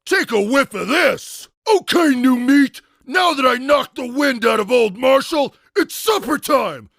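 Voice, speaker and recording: A man voicing a menacing, villainous brute in a cartoon video game, taunting a newcomer with loud, drawn-out threats. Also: extremely high pitch, animated, gravelly, no room sound, full character voice.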